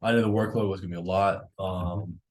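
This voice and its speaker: A man's voice: low voice, steady tone, fairly quick. A man in his twenties with an American accent, casually answering interview questions as a college student. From Jacksonville, United States. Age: 20s.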